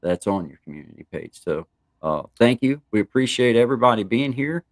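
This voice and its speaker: A man's voice: mid-range voice, lively intonation, brisk, dry recording, clean studio sound. A man co-hosting a live morning stream who talks in a friendly, rambling way and thanks viewers. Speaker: co-host of a live morning show. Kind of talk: casual live-stream chat.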